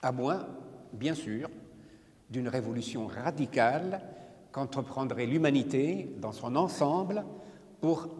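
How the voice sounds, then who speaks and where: An older man from Morocco, not a native French speaker, reading a prepared lecture as a poet in a measured, solemn way. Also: mid-range voice, animated, darkish tone. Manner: measured, solemn, deliberate phrasing. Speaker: poet giving a talk. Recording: reverberant hall, from a distance.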